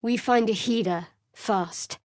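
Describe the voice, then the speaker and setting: A woman voicing a guarded, sardonic young cleric of a dark goddess in a fantasy game, speaking in a dry and wry way. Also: on the high side, slow, dry recording. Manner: dry, wry and cool, with sly sarcasm. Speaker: party companion in a fantasy video game. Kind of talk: recorded game voice lines. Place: studio booth. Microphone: close studio mic.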